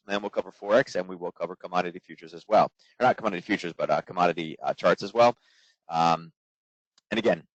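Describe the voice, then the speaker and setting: A man in his forties, hoarse from coaching, giving a trading webinar in a quick, casual way full of fillers. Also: lowish voice, no room sound. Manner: quick, filler-heavy, conversational. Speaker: trading instructor. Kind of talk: live webinar presentation. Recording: dull, dry lo-fi webinar audio.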